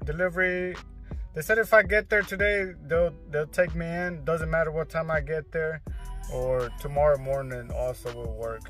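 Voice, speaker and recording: A man's voice: a little high, fairly even intonation, moderate pace, fairly bright tone, soft background music. Rambling trucker man on the road. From Mexico. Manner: rambling, loose, full of fillers and restarts. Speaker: truck driver vlogger. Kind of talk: casual vlog chat. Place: in and around his truck, on the road.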